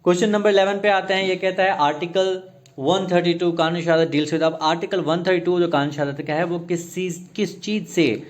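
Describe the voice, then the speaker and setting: A man's voice: high-pitched, steady tone, brisk. A man with a Pakistani accent lecturing as an exam tutor, reading out questions and explaining them, repeating and rephrasing as he switches between two languages.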